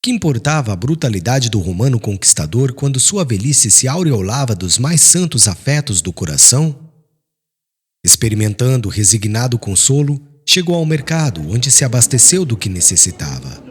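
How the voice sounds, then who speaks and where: Husky, expressive male audiobook narrator. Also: medium pitch, moderate pace. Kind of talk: dramatic scripted narration of a literary novel.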